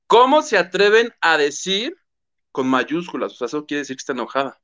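A man's voice: fairly high pitch, big pitch swings, a little fast, a bit bright. A man hosting a gossip show, telling the story animatedly and acting out quotes. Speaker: show host. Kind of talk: casual gossip commentary.

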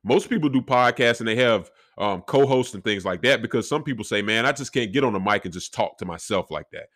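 Deep-voiced man hosting a solo podcast. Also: lively intonation, brisk, a bit bright. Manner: reflective, faith-filled, conversational. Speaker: podcast host.

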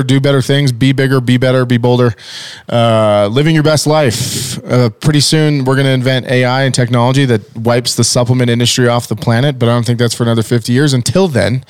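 A man's voice: mid-range voice, a little fast, smooth, no room sound, studio quality. Big-voiced man hosting a podcast. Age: 40s. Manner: loud, energetic, joking, with fillers. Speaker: podcast host. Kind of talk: casual podcast chat. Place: podcast studio. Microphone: studio mic with headphones.

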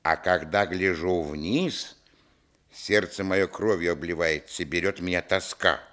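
A man playing a wistful grown narrator looking back on his village childhood, with expressive literary storytelling in a radio play. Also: low-pitched, a little slow. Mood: wistful, dramatic.

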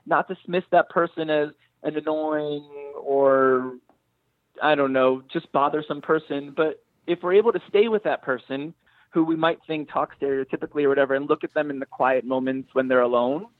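Guy with a highish voice talking about his writing in an interview, keeps rewording stuff, dry close sound. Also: fairly even intonation, no echo, narrow, tinny audio. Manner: halting, searching for words, rephrasing often. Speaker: screenwriter being interviewed. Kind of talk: thoughtful interview talk about own writing.

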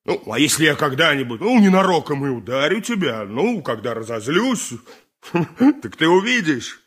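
An actor playing a boastful, boisterous armourer in a radio play, exclamatory and chuckling. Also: male voice, a little high, sing-song, normal speed, fairly bright tone, husky, heavily acted. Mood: mock indignant. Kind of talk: 1980s radio play acting.

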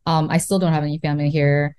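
Brief: woman in her thirties, Korean native speaker, lowish voice, casual and chatty with lots of fillers. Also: flat delivery, fast talker, a little mellow, clear, resonant tone. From South Korea. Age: 30s. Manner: chatty, many fillers like "right?" and "you know". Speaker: guest, real estate investor. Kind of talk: casual interview chat.

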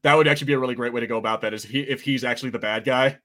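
A man co-hosts a podcast in a middling-pitched voice. He talks in a casual, informal review style and swears freely. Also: fairly even intonation, very fast, a bit bright. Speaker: podcast co-host.

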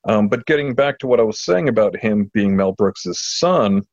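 A man chatting casually as a podcast host, enthusiastic but halting, often repeating words as he goes. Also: lowish voice, a little mellow. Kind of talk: casual chat. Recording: muffled lo-fi audio in a roomy space.

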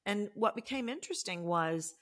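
A woman from Texas with a Texan accent, a voice coach explaining her work in a podcast interview in an emphatic, animated way. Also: medium pitch, normal speed. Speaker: voice coach guest.

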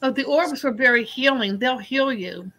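A chatty woman with a bright, forward voice pitched a little high. She rambles in a friendly, casual way and calls people "honey". She sounds like a host talking live with a guest. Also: moderate pace, low-quality recording. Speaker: psychic host.

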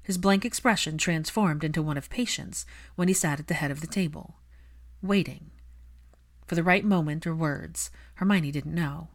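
A woman's voice: low-pitched, normal speed. A woman reading scripted fiction as an audiobook narrator.

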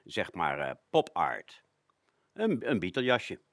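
A man presenting stories on local radio in a fairly low voice. His intonation is very varied, and the sound is dry with little room in it. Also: huge pitch swings, takes it slowly, vocal fry, a bit bright, dry recording. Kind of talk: telling anecdotes on a local radio show.

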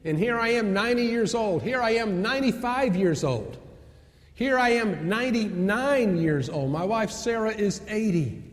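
A man preaching a sermon with storytelling, repeating key phrases for emphasis. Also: sits high. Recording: echoey hall with long reverb.

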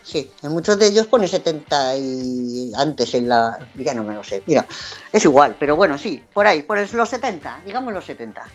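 A woman from Madrid speaks in a slightly low voice, her Madrid Spanish accent softened by a Valencian lilt. She chats casually as a podcast guest, quick to laugh at herself. Faint music plays underneath. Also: big pitch swings, dull audio. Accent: Madrid Spanish, with some Valencian influence. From Madrid, Spain. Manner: chatty, laughs often, self-deprecating. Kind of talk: casual chat.

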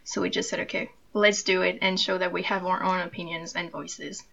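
A seventeen-year-old woman from France, speaking in an earnest, measured way as a guest in an interview. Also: on the low side, flat delivery, gravelly, sharp tone. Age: teens. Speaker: podcast co-host, guest. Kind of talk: casual interview chat. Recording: dull lo-fi mic.

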